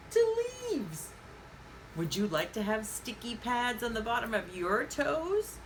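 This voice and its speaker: A woman leads story time for young children with a very animated, sing-song delivery. She takes her time, putting questions to the listeners and pausing as if they reply. There is clear background noise on the recording. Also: mid-range voice, poor audio. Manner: sing-song, asks questions and pauses as if for answers. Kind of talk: interactive picture-book reading for young children.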